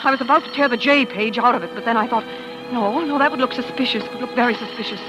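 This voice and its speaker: An actress playing an anxious, devoted wife in a 1940s radio suspense drama, fretful and dramatic. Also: female voice, fairly high pitch, fast, close to an everyday voice, poor audio. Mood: tense, brooding. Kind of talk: 1940s radio suspense acting, first-person narration and dialogue. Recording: old radio drama with music behind.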